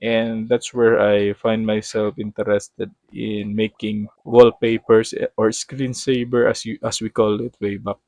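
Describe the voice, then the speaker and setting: A man's voice: fairly low pitch, level tone, measured pace, few pauses, a little mellow, no echo. A man in his thirties with a Filipino accent, a native Tagalog speaker, chatting casually while playing a farming game and often stopping to correct or search for his words. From Philippines. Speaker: gaming vlogger. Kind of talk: casual gameplay commentary.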